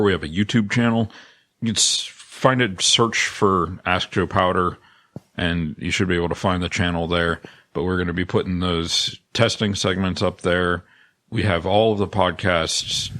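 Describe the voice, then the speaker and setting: A man giving casual, slightly halting podcast outro announcements as a co-host. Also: sits low, fairly bright tone. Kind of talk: podcast outro announcements, then mock-dramatic recitation.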